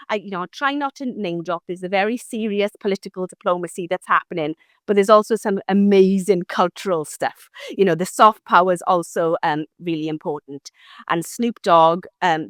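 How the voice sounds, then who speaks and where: A woman's voice: lowish voice, dry recording, clean studio sound. A Welsh woman in her thirties, a former diplomat, talking thoughtfully in a podcast interview with many fillers and restarts. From South Wales.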